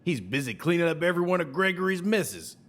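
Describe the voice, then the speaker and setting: A man's voice: a little high, sing-song, fast, a bit bright. An actor playing a brash, sly, folksy salesman with an American Southern accent and needling, colourful idioms in an audio drama. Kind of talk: scripted audio drama acting.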